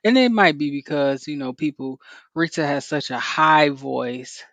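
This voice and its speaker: Podcast co-host, woman, deeper voice, relaxed chatty delivery with fillers, dry close sound. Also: unhurried, dry recording, muffled recording. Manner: laughs often, many fillers like "you know". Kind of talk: casual chat.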